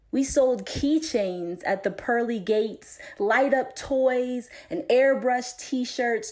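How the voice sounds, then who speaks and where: A woman poet reading her own work aloud in a measured, deliberate recitation, phrase by phrase with pauses. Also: fairly high pitch, darkish tone.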